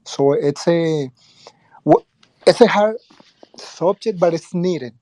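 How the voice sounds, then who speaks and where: A man explaining his view earnestly and haltingly in a casual group discussion. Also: a little high, dark tone, dry recording, poor audio. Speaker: discussion participant, former teacher. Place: live online audio room, dry sound.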